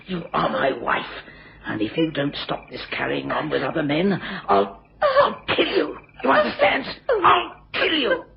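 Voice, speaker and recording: Gravelly, jealous, menacing husband. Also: male voice, fairly high pitch, big pitch swings, unhurried, exaggerated voice. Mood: enraged, threatening. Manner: sneering, accusing. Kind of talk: radio drama acting. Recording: noisy, muffled lo-fi radio recording.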